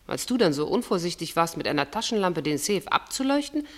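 An actress playing a cornered, anxious woman suspect in a German radio mystery, with a husky voice and emphatic, dramatic delivery. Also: on the low side, big pitch swings, brisk. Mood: defensive. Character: cornered female suspect anxiously defending herself.

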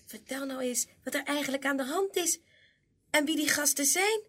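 A woman's voice: sits high, moderate pace, fairly bright tone. A woman playing a naive young elf princess in a fairy-tale radio play, with a childlike, coaxing manner.

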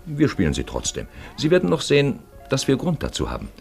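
An actor as a knowing radio narrator, reading a literary script in a wry, measured, ironic way. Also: male voice, mid-range voice, huge pitch swings, creaky, darkish tone, slightly rough, full character voice. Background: music.

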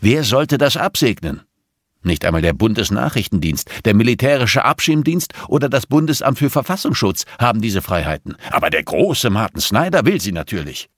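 A man's voice: lowish voice, very animated, moderate pace, a bit bright, dry recording, clean studio sound, full character voice. A man voicing an exasperated male police chief in an audiobook, firm, admonishing and weary. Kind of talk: audiobook character dialogue.

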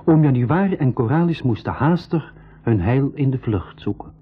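A man with a Flemish accent playing a lively, cheeky young boy who acts and narrates in a radio play. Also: mid-range voice, normal speed, loud music behind the voice, natural voice. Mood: tense storytelling. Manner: animated, exclamatory. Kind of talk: radio play acting and storytelling. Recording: muffled 1980s school radio recording.

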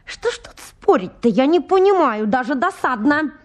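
A woman's voice: sits high, sing-song, moderate pace. A woman playing a headstrong, quarrelsome landowner's daughter in a radio farce, emphatic and theatrically argumentative. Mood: indignant. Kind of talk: Russian radio comedy acting.

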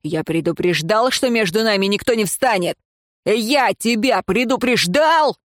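A woman voicing a furious, jealous male prison warden, slow and growling in a dry recording. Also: mid-range voice, animated, dry recording, lightly acted.

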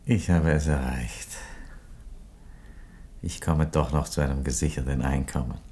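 An actor playing an ironic, dignified Roman emperor in a German radio play, speaking in a measured, theatrical way. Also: male voice, extremely low pitch, steady tone, darkish tone. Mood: calm, satisfied. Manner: measured, theatrical, lingering on phrases. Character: ironic, dignified Roman emperor resigning his empire, male.

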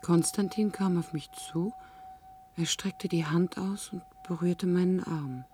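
A woman's voice: on the low side, steady tone, unhurried, dark tone, soft background music, natural voice. An actress playing a troubled woman in a radio drama, restrained and formal in her speech. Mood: subdued. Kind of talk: 1970s radio drama acting.

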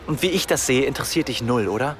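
An actor playing a remorseful, self-pitying young man, halting and defensive. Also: medium pitch, lively intonation, slight background noise, natural voice. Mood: brooding.

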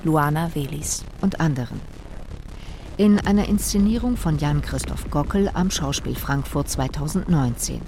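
A woman's voice: low voice, moderate pace, noisy background. A woman announcing a radio play in scripted, formal narration. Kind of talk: scripted narration, credits reading.

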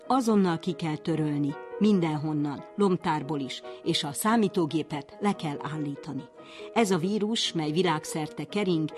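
A woman's voice: on the low side, darkish tone. A woman reading a written warning message aloud as a scripted radio insert. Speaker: reader of quoted texts. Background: music.

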